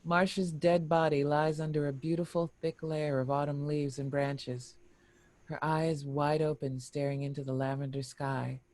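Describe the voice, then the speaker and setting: A screenplay narrator with a mid-range voice reads action lines and scene headings. The delivery is deliberate and expressive, like dramatic storytelling. The sound is dry, without room reflections. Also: medium pitch, dry recording. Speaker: narrator reading stage directions.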